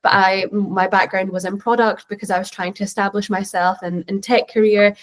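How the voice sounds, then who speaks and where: A woman's voice: mid-range voice, level tone, fairly quick. A Scottish woman in her twenties, a panelist answering a question on an online call with earnest, reflective storytelling. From Scotland.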